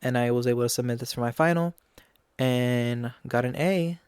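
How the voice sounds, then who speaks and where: Chatty young man, smooth dry voice. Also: medium pitch, normal speed, darkish tone, dry recording. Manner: chatty, frequent ums and you-knows. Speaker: podcast host. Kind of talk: casual reflective chat.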